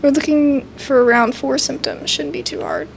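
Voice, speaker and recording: High-pitched, creaky woman playing a game. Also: sing-song, normal speed, heavy background noise. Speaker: gamer playing and reading a game aloud. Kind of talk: casual game commentary and narration reading.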